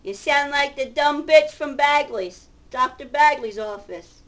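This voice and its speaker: A woman's voice: high voice, a little slow. A middle-aged woman ranting casually in a combative, sarcastic manner, recorded on a webcam in a home kitchen. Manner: agitated, combative, sarcastic, profane. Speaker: vlogger. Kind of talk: confrontational ranting to camera, casual.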